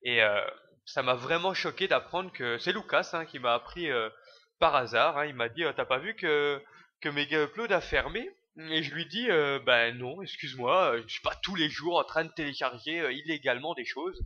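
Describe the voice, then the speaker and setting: Young male vlogger, bright edgy voice, heated rambling rant full of fillers, muffled lo-fi recording. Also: on the high side, normal speed. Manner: rambling, heated, crude slang, many fillers. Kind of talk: ranting video commentary.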